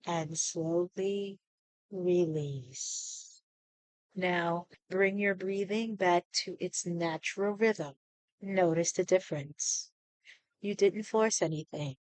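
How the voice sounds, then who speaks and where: A woman leading a guided meditation in a soothing, deliberate manner, leaving pauses between short phrases. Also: fairly low pitch, a little mellow, no room sound, low-quality recording.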